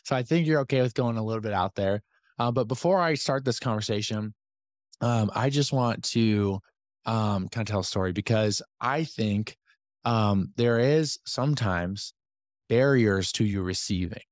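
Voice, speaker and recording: A man hosting a business podcast, talking casually to his community with a slightly hoarse voice and lots of fillers and asides. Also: on the low side, normal speed, no echo, studio quality. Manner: energetic, chatty, many fillers and asides. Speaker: podcast host and business coach. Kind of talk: casual talk to a community call.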